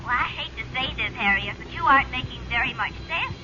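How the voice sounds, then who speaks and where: A woman's voice: high-pitched, lively intonation, fast, sharp tone, heavily acted. A woman playing a chatty, meddling older mother heard through a telephone filter in a 1940s radio sitcom. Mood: nosy, concerned. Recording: very noisy, muffled phone on old radio.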